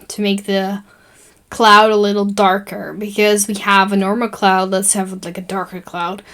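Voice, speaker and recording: Dutch-accented young woman, chatty tutorial voice-over. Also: medium pitch. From Netherlands. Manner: chatty and rambling, with many fillers and self-corrections. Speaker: tutorial host. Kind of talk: casual screen-recording tutorial voice-over.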